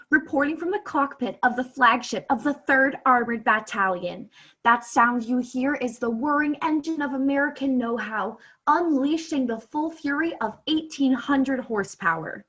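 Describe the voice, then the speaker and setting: Girl playing high-voiced, grandiose war correspondent. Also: a bit bright. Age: teens. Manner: florid, declamatory. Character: earnest, grandiose embedded woman war correspondent. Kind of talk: mock radio war reporting. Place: bedroom over a video call. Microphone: computer microphone on video call.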